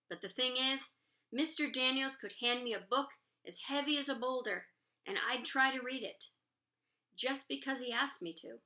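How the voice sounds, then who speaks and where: A woman's voice: a little high, pushed and bright. A woman reading a children's novel aloud expressively as a storyteller. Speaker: book reader.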